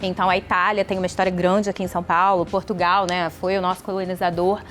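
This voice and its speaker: A woman's voice: fairly low pitch, little pitch movement, fast, a bit bright, a little hiss or hum. A woman with a Brazilian accent hosting a podcast and interviewing a guest in a conversational way. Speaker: podcast host.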